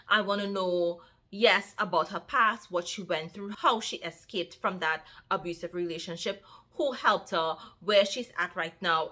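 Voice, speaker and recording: A young woman with a Trinidadian accent, chatting casually to her viewers as a book vlogger, lively and talking straight to them. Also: fairly low pitch. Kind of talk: casual chat to camera.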